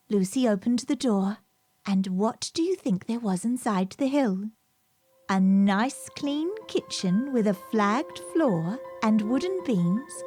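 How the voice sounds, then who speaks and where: A woman reads a children's story in a high, very lively voice. She takes it slowly, like a bedtime narrator, over gentle background music. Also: breathy edge, no room sound.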